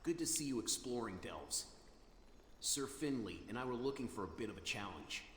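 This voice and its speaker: A man's voice: mid-range voice, expressive, moderate pace, slight background noise, lightly acted. A man playing a genial game adventurer who invites the hero to spar, hearty and theatrical. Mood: jovial challenge. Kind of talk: video game character dialogue. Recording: echoey hall, loud game music behind.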